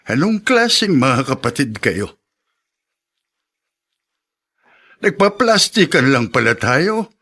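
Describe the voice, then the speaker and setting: Husky, aggrieved old father. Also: a little high, bright, forceful tone. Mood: hurt, angry. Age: older. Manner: emotive, weighty delivery. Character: ailing, aggrieved old father of grown children. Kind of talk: Tagalog radio drama acting.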